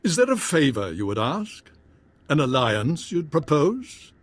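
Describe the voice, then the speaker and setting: Husky, courtly, theatrical Baron. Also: male voice, a little high, huge pitch swings, no room sound, exaggerated voice. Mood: musing, courtly. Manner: grandiose, courtly, measured. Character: pompous, courtly Baron ruling a noble house. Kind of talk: scripted video game drama acting.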